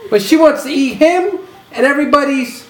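A woman's voice: sits high, moderate pace, close to an everyday voice. A woman voicing a brash, exasperated, wisecracking male cartoon meerkat in a comic script read. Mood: bewildered, exasperated. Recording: echoey room with faint noise.

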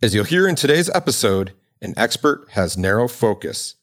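Man, podcast host, lowish voice, reads an intro, earnest questions. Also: sing-song, normal speed. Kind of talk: scripted intro then interview questions.

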